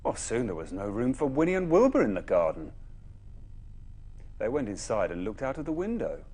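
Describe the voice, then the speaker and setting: Husky, very animated male bedtime storyteller. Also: mid-range voice. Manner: dramatic pauses, emphatic phrasing. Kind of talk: expressive children's story narration.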